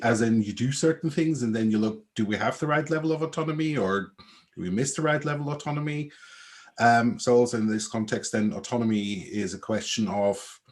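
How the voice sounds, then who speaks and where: A man's voice: mid-range voice, a little mellow. A man from Switzerland with a Swiss accent, speaking as an agile coach on an online panel, explaining ideas thoughtfully. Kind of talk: panel discussion. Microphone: video call.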